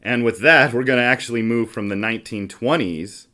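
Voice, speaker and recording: A man's voice: medium pitch, brisk, pushed and bright. A man hosting a podcast, chatting casually about board games and acting out little quotes as he goes. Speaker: podcast host. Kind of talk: casual board game chat.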